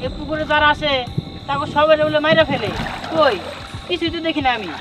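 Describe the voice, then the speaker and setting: An eager, curious young man played by an actor in a village drama. He speaks casually in a high-pitched voice, with clear music running behind him. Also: fairly even intonation, fairly quick. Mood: sceptical.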